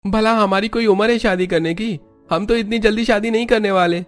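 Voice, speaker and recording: A man voicing an impatient young male family member in an animated story. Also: high-pitched, fairly quick, soft background music, natural voice. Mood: reluctant. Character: impatient, reluctant young male relatives at dinner.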